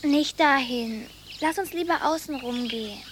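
Woman as high, childlike, fearful girl. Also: moderate pace, noisy background, natural voice. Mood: frightened. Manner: childlike, pleading. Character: confused, fearful, stubborn girl with childlike wishes. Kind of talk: radio drama acting. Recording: studio radio drama with music underneath.

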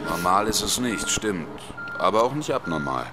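A man's voice: sits low, big pitch swings, moderate pace, music in the background. An actor playing a reluctant, wry, grumbling man who is easily put out, in a radio drama. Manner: wry, complaining. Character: reluctant, grumbling, squeamish friend dragged along.

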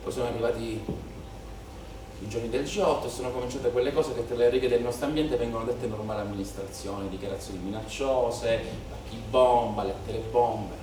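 A man's voice: medium pitch, brisk, natural voice. An actor playing a troubled policeman in an intense theatrical monologue with repetitive, rhetorical phrasing. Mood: troubled, subdued. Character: troubled young policeman confessing his part in riot violence. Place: theatre stage or performance space. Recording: very echoey room, distant mic, some noise.

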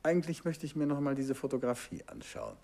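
A calm, curious detective. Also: male voice, medium pitch, brisk, vocal fry, heavily acted. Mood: cheerful. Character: adult male amateur detective, calm and curious. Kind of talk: 1950s radio drama acting.

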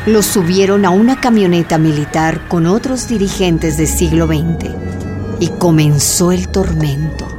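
A woman's voice: lowish voice, a little slow. A woman delivering dramatic scripted narration for a radio drama. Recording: music bed behind the voice.

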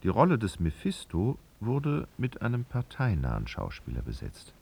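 A man narrating a literary radio drama in a gravelly voice, with expressive, knowing, ironic storytelling. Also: lowish voice, normal speed, exaggerated voice. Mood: wry narration. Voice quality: creaky, gravelly.

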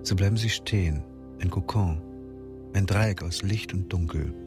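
Deep-voiced male narrator. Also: unhurried, over music. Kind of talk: scripted radio drama narration.

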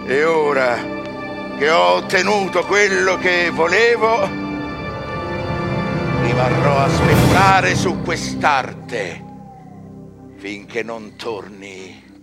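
A man's voice: a little high, animated, slow pace, creaky, pauses often, loud music behind the voice, exaggerated voice. An actor playing a proud scholar who bargains his soul with the devil, declaiming theatrical verse with dramatic swells and drops in a radio drama. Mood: exultant, grandiose.

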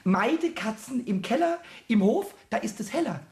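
A man's voice: very high voice, very animated, normal speed, darkish tone, roomy sound, exaggerated voice. A man playing a small, cheeky, childlike kobold in a German children's radio play, chattering eagerly and repeating words in a stammer. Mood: nervous, defensive.

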